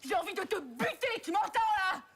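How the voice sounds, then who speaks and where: Enraged woman, shrieking, very high voice, wildly swooping, film scene. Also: big pitch swings, fairly quick, fairly bright tone, a little hiss or hum, heavily acted. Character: furious woman screaming threats at a man.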